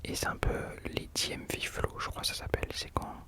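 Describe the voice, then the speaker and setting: A man hosting ASMR in an unvoiced whisper, chatting informally and hesitantly, correcting himself as he goes. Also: a little fast. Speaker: ASMR host. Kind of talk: whispered ASMR chat about rappers.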